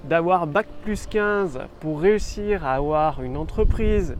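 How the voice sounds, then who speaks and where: High-voiced French man with a cold. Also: measured pace, a little hiss or hum. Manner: repetitive, emphatic coaching. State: has a cold. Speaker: business coach vlogger. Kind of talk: casual outdoor vlog talk. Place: outdoors on a rock on an island.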